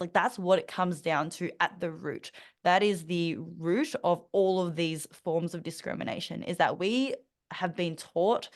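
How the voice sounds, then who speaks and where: A young woman with an Australian accent, speaking earnestly as a podcast guest, thoughtful, with many fillers and restarts. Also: lowish voice. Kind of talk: conversational interview.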